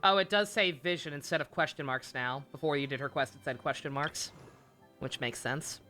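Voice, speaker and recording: A woman's voice: sits low, moderate pace, bright, forceful tone, soft background music. A woman chatting casually on a game livestream, rambling and blunt, with some swearing.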